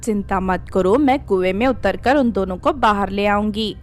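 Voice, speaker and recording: A woman's voice: medium pitch, normal speed, soft background music, close to an everyday voice. Woman acting a caring village mother, earnest. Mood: reassuring. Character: poor, caring, brave widowed village mother.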